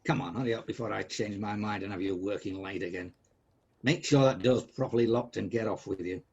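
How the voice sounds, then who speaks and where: An actor playing a miserly, bad-tempered old businessman in a comic radio drama, husky, sarcastic and blustering. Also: on the low side, a little fast, lo-fi sound. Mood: irritable nagging.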